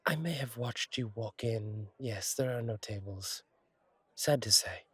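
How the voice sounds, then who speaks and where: A man playing a friendly, courteous angel bartender in an intimate roleplay audio, speaking gently with thoughtful pauses. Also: medium pitch, husky, dry recording. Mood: warm, reassuring. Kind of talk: intimate roleplay audio acting, one side of a conversation.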